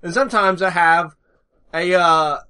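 A man chatting as a podcast co-host, rambling and halting, restarting his thoughts as he goes. His voice is bright and sharp, sitting slightly high for a man. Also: clean tone. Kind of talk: casual podcast chat.

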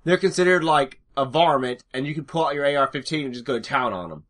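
Rambling man with a bright voice. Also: mid-range voice. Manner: rambling, halting with many restarts. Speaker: podcast co-host. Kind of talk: casual podcast chat.

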